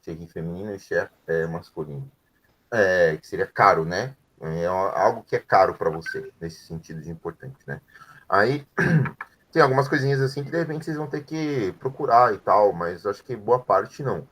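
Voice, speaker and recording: Male language teacher, lower register, patient step-by-step explainer. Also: animated, moderate pace, fairly bright tone. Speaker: French teacher. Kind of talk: explaining grammar in an online class.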